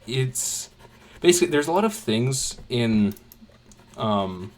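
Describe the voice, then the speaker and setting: A man's voice: medium pitch, expressive, takes it slowly. A young man chatting casually while he draws, rambling with many fillers and restarts. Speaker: art channel creator. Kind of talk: casual chat while drawing.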